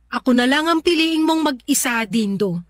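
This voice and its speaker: A woman's voice: high-pitched, lively intonation, normal speed, no echo. A Filipino actress playing an insistent, pleading, scheming girlfriend in an emotional Tagalog radio drama. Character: pleading, scheming first girlfriend in a polyamorous household.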